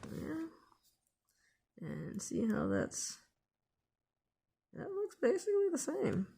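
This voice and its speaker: A woman's voice: high voice, huge pitch swings, slow pace, creaky, warm tone. A woman hosting a casual hands-on DIY tutorial, thinking aloud in an unscripted way as she works. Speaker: DIY video host.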